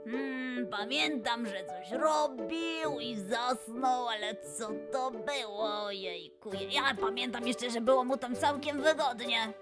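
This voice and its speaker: A woman plays an excitable young cartoon lion with a very high voice. He is forgetful and fretful, full of little exclamations and self-doubt, in a children's audio show with music clearly behind. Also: slow, few pauses. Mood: flustered, forgetful.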